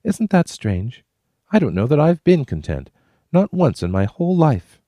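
A spirited frontier woman with a raised, lively voice that swoops high, as a deep-voiced male reader puts her on. She teases and talks breezily, close and dry. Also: moderate pace, dry recording. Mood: wistful. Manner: teasing, breezy.

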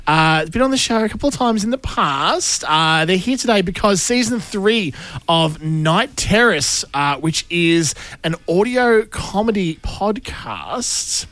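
A man's voice: sits high, lively intonation, unhurried, fairly bright tone, no echo. An Australian man co-hosting a breakfast radio show, chatting casually and upbeat in a studio.

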